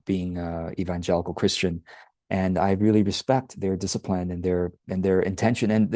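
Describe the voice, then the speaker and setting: A middle-aged man from Taiwan hosting his own channel, reflecting aloud in a halting, thoughtful way with many restarts. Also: deep voice, mellow, no echo, clean studio sound. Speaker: channel host. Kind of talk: reflective personal monologue.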